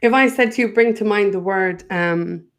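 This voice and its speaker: A woman's voice: medium pitch, normal speed. A woman with an Irish accent, a guest in a spiritual conversation, speaking earnestly and often breaking off to restart her thought.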